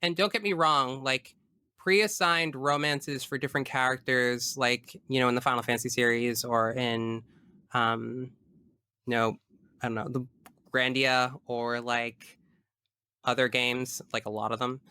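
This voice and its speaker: A man's voice: mid-range voice, steady tone, a little slow, sharp tone, smooth, no room sound. A man in a casual podcast discussion as the guest, explaining his views earnestly and analytically at length.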